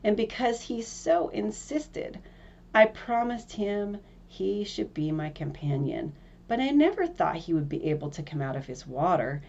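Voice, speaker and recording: Lady doing a young princess from a storybook, snooty and easily scared, mellow voice. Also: mid-range voice, sing-song, measured pace, vocal fry, muffled recording, exaggerated voice. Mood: anxious, reluctant. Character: spoiled young princess, disdainful and easily frightened.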